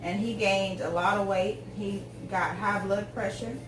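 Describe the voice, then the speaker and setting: A woman's voice: mid-range voice, fairly even intonation, a little slow, reverberant, recorded from a distance, background noise. A woman in her forties chatting casually while she cooks at home, rambling through tangents with lots of ums. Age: 40s. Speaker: home cook vlogger. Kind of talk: casual chat while cooking. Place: home kitchen.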